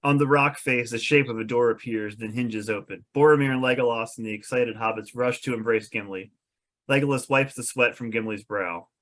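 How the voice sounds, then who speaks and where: A man's voice: mid-range voice, fairly quick, a bit bright. A man reading stage directions aloud as narrator at a script table read.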